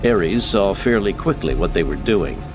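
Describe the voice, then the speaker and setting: A man's voice: low voice, expressive, normal speed, music in the background. A man giving scripted, dramatic narration of science fiction as an audiobook narrator.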